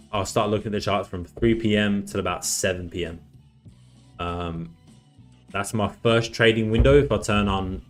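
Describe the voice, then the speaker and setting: A man on a casual live stream, chatty, reading out chat messages and losing his voice. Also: lowish voice. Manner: chatty, reads out chat messages, many restarts. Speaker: livestream trader.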